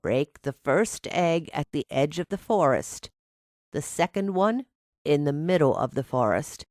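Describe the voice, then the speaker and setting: A woman voicing a lonely, kindly old woman in a storybook, speaking gently and without hurry. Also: low-pitched, lively intonation, unhurried, no echo, clean studio sound. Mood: instructing.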